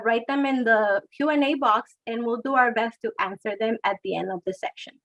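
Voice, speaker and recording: Higher-voiced woman hosting a webinar. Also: a bit bright, lo-fi sound. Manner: friendly, scripted introductions and questions. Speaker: webinar host.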